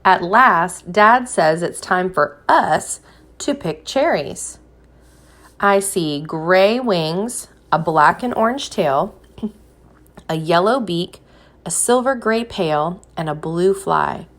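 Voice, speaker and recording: Slow-paced woman reading to children. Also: fairly low pitch, expressive, a bit bright. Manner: slow, deliberate, encouraging prompts. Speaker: teacher reading a picture book aloud. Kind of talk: children's read-aloud with questions to young listeners.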